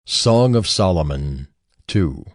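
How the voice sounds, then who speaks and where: A man's voice: low-pitched, animated, slow, no echo, studio quality. A man announcing chapter titles in formal, scripted narration.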